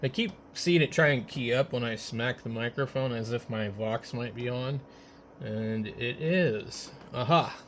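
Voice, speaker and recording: Man, ham radio operator, casual chat, hesitant, average pitch, noisy background. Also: measured pace. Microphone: radio microphones, switched between hand mics. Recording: noisy amateur radio setup, steady background noise.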